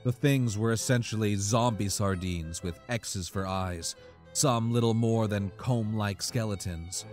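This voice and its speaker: A man's voice: lowish voice, unhurried, a little mellow, soft background music. A man narrating a scripted comic horror story in a dramatic, profane storytelling manner.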